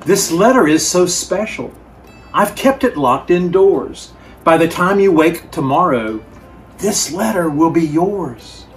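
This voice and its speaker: A man reading aloud, voicing a gentle, loving father bear in a children's storybook with a tender, soothing delivery. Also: on the high side, expressive, slow, faint music behind. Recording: distant mic, faint background noise.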